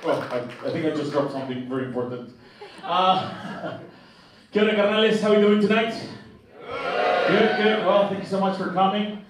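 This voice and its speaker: A man's voice: high voice, normal speed. Mexican-accented man, echoey hall. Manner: loose and chatty, stumbling restarts, laughs at own jokes. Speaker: stand-up comedian. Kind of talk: stand-up set. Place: theatre stage. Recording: echoey hall, distant mic, noisy.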